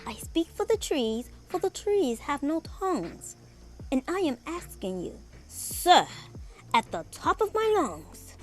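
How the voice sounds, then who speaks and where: A storybook reader voicing a small, oldish, bossy forest creature, sharp and indignant as it scolds. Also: sing-song, slow, full character voice. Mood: indignant, pleading. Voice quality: sharp, puffed, high-pitched.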